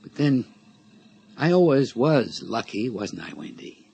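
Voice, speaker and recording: An actor playing a sly, menacing middle-aged blackmailer in a radio drama, taunting with mock-friendly, folksy familiarity. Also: male voice, mid-range voice, sing-song, unhurried, vocal fry, warm tone, slightly rough, full character voice. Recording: radio drama recording with background noise.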